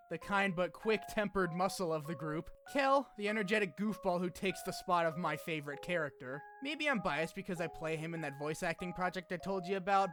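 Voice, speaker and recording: A man with a fairly high voice narrates a video essay over clearly audible music. His script is loose and casual, broken up by joking asides and the odd swear word. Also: a bit bright. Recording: music behind the voice. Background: background music.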